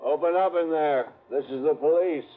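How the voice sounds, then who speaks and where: An actor playing an insistent, easily flustered police sergeant in a 1950s radio crime drama. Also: male voice, on the high side, moderate pace, music in the background, lightly acted. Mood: official, surprised. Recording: dull old radio recording, faint noise.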